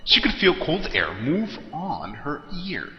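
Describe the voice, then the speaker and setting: A man's voice: fairly high pitch, huge pitch swings, slow pace, highs cut off. A man narrating a scary story in a dramatic, scripted style. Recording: echoey long reverb. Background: music.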